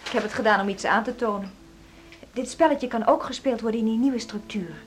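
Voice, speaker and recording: Actress as brisk, high-voiced music teacher. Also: female voice, background noise, lightly acted. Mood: brisk, earnest. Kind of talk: Dutch radio drama acting.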